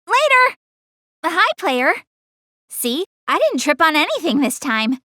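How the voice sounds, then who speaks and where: A woman's voice: high voice, sing-song, slow, pushed and bright, no room sound, clean studio sound, heavily acted. A woman voicing a young anime goddess girl in a video game, proud, chatty and earnest, easily flustered. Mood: bubbly, playful, then pleased, friendly. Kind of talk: English anime video game character dialogue.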